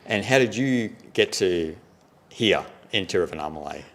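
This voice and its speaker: A man's voice: sits low, animated, moderate pace. A man hosting a documentary interview in a casual, chatty way, with plenty of fillers like "like" and "you know". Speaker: documentary host.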